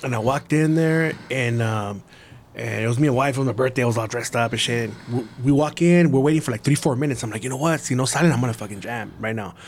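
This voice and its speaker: A man's voice: mid-range voice, fast talker. A man with a Chicano accent in a casual podcast chat, using slangy English mixed with Spanish and some swearing, with noise behind him.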